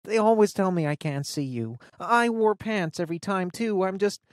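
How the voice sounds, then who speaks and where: A woman's voice: mid-range voice, expressive, moderate pace, no echo, clean studio sound, voice pushed up, lightly acted. A woman voicing a rattled teenage girl who talks in a rush and keeps breaking into tears. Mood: nervous. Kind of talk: audiobook character voice.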